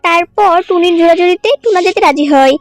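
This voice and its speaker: Female narrator for a children's cartoon tale, extremely high voice, dry sound with music underneath. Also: a little fast.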